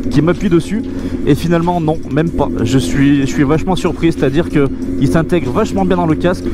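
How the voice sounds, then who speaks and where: A man giving an informal, enthusiastic product review to his viewers as a motorcycle vlogger. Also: a little high. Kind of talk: casual product review voice-over. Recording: loud music behind the voice. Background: loud music.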